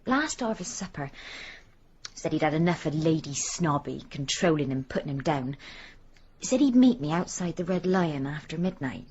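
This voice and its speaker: An actress playing a blunt, confiding working-class village wife in a radio drama. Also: female voice, sits low, expressive, normal speed, a little mellow, muffled recording, a little hiss or hum. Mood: wistful, resigned.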